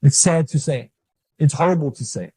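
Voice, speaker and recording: Male host of a faith series, slightly high and mellow, who preaches with insistent repetition. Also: normal speed, husky, dry recording. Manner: emphatic, repetitive, urging the listener. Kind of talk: preaching religious talk.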